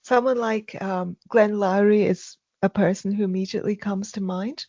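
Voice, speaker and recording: A soft-spoken woman being interviewed, speaking haltingly with many ums and restarts. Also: mid-range voice, steady tone, no room sound, muffled recording. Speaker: podcast guest. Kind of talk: casual interview conversation.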